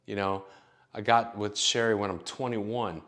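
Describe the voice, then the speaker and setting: A man's voice: lowish voice, fairly even intonation, measured pace, a bit bright. A man in his late thirties telling stories in a rambling, profane, casual monologue full of fillers. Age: late 30s. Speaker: vlogger.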